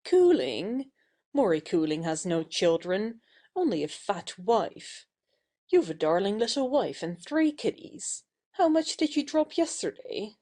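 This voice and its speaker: An actress playing an imperious but warm-hearted young lady of the house, bossy and emphatic, in a satirical audio play. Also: mid-range voice, sing-song, measured pace. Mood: reproachful.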